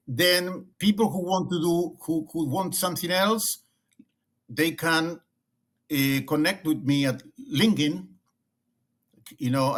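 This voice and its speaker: A middle-aged man from Argentina with an Argentine Spanish accent, speaking as an interview guest and often restarting and repeating words. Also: fairly high pitch. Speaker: guest, leadership consultant. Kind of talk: conversational interview.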